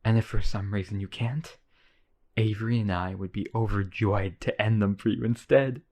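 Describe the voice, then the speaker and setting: A man's voice: lowish voice, steady tone, moderate pace, darkish tone. A man playing an obsessively devoted, centuries-old vampire brother in a boyfriend roleplay, earnest and pleading. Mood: softly menacing.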